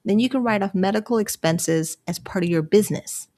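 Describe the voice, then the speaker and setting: A woman from Canada talking alone on her livestream, rambling and easygoing. She laughs often between thoughts, and her voice jumps higher when something excites her. She has a mid-range voice and sounds like she is in her thirties. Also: a little fast. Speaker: livestream host. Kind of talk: casual solo livestream talk.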